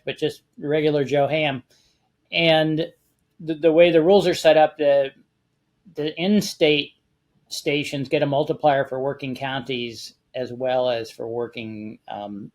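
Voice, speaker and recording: A man with an American accent talking casually and explaining a hobby step by step, with a measured, conversational manner. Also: on the high side. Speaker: amateur radio contest guest expert.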